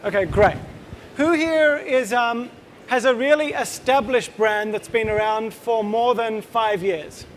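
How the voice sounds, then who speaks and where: A man's voice: extremely high pitch, a little slow, a little hiss or hum. A man in his thirties with an Australian accent, giving a casual, joking conference talk as a company co-founder and quizzing the room. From Israel. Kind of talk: conference presentation to a live audience.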